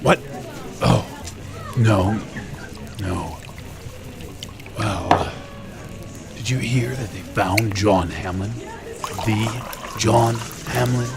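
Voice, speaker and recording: An actor playing a gruff, brusque, boozy police detective in a 1920s old-time radio drama. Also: male voice, on the low side, extremely slow, long pauses, loud music behind the voice, heavily acted. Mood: preoccupied, muttering.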